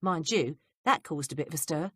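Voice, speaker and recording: Woman reads sly young manservant, gossipy and blunt, animated delivery. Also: deep voice, brisk, husky, no room sound, studio quality, full character voice. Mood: confiding. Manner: blunt working-class speech.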